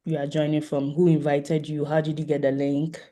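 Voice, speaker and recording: Mellow voice, mid pitch, online forum host, polite, informal moderating, video call. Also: flat delivery, moderate pace. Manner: polite, inviting participants to introduce themselves. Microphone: video call microphone.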